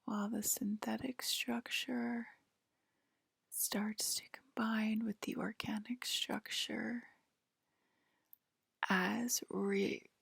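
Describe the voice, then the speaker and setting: A woman whispering softly and soothingly in an improvised ASMR sci-fi roleplay, playing a calm alien abductor tending to a patient. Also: a little high, dry recording, clean studio sound. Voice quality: breathy whisper. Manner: soft, slow, soothing. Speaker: ASMR performer. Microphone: close microphone, dry.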